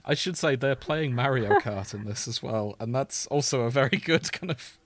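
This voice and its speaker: A man's voice: medium pitch, normal speed, fairly bright tone, no echo. A man co-hosting a podcast in casual chat, with a jokey, playful manner. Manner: jokey, often laughing mid-sentence. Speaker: podcast co-host. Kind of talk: casual chat with playful reading aloud of fan fiction.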